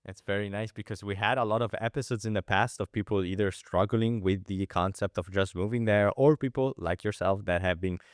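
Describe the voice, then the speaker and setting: A man's voice: on the low side, a little fast, few pauses, smooth, no room sound, clean studio sound. A young man from Italy hosting a podcast, talking casually and enthusiastically, rambling through restarts and fillers. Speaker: podcast host. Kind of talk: casual interview chat.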